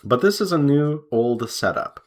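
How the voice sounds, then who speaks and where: A man chatting casually as he draws, rambling in an unhurried way and pausing mid-sentence. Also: medium pitch, very animated. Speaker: artist and devlog host. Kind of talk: casual chat while drawing.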